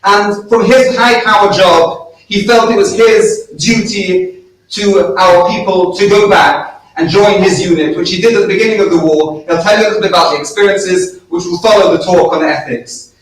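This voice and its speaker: High-voiced man introducing a speaker. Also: fairly even intonation, normal speed. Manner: emphatic, rising to a shout on key words. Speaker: event host. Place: event room. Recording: echoey room, distant mic.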